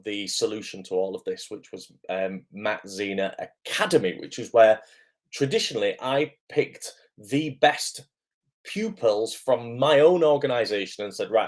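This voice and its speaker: A man with a hoarse, croaky voice from illness, hosting a casual livestream as an acting coach, greeting viewers and filling pauses with "um". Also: lowish voice. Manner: chatty, lots of "um" fillers, greets viewers by name. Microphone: camera microphone.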